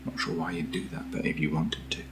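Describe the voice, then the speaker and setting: A British man speaking in a soft, breathy near-whisper as he hosts a casual ASMR video, gentle and unhurried, close to the microphone. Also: very deep voice, level tone, over music. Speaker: ASMR video host reviewing camera gear. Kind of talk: soft-spoken ASMR, casual unboxing chat.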